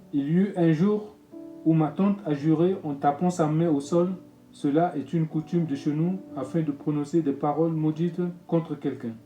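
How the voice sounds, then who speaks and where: A man, a pastor reading his own testimony in a solemn, measured way. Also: fairly high pitch, warm tone. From Congo-Brazzaville. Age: 50s. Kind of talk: read personal testimony. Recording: echoey room with music bed.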